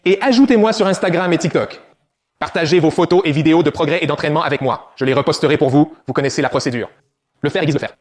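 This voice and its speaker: A man's voice: a little high, fast. A man coaching viewers through a back exercise, giving direct step-by-step instructions on form. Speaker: fitness coach.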